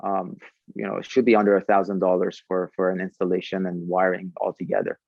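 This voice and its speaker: Low-voiced man explaining his product. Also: monotone, fairly quick, creaky, no room sound, dull audio. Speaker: startup founder, podcast guest.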